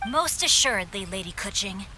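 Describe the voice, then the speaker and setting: A man's voice: sits high, lively intonation, fairly quick, pushed and bright, slight background noise, full character voice, low-quality recording. A voice actor playing a refined, gallant young nobleman in a video game, with formal, courtly phrasing.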